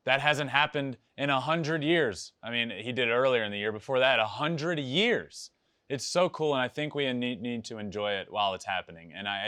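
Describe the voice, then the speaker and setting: A man's voice: on the low side, sharp tone, clear, resonant tone, no echo, clean studio sound. A young American man hosting a podcast, casually answering listeners' baseball questions in a conversational way, repeating phrases for emphasis. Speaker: podcast host and former professional baseball player. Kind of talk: casual sports talk answering listener voicemails.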